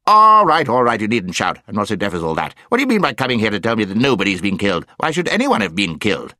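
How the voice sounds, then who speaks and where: A man playing an old, irascible, hard-of-hearing dwarf in a storybook, loud, blustering and indignant. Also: fairly low pitch, big pitch swings, fast, no room sound, studio quality. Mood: irascible, baffled.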